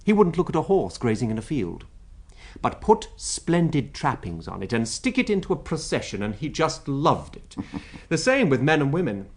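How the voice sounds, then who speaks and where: Animated, eloquent British royal secretary. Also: male voice, mid-range voice, moderate pace. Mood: wry, reflective. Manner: rhetorical, expansive, emphatic. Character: talkative, wryly amused royal private secretary. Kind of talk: BBC radio drama acting.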